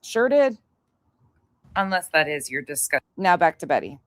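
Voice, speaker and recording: A woman with a low voice, recorded close and dry, hosting a casual livestream. She talks bluntly to her viewers and swears freely, with an openly scornful edge. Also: very animated, normal speed, no room sound. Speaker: livestream host commenting on played clips. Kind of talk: casual livestream commentary, chatting with live viewers.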